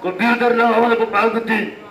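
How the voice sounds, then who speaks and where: A man's voice: very high voice, steady tone, brisk, a bit bright, distant mic. A man voicing a hungry, menacing talking computer with a Filipino accent in an amateur puppet show. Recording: echoey room recording.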